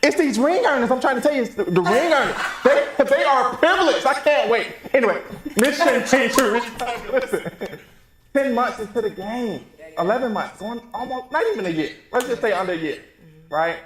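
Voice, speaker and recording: A man's voice: very high-pitched, animated, moderate pace, roomy sound, a crowd in the background, poor audio. A man hosting a livestream panel, hyped and teasing as he introduces guests. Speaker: panel moderator.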